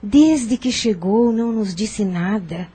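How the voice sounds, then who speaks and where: A woman playing a wise, compassionate sister in an audio novel, earnest and consoling, with a husky voice. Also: medium pitch, lively intonation, slow pace, dark tone, full character voice. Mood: concerned. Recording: music behind the voice.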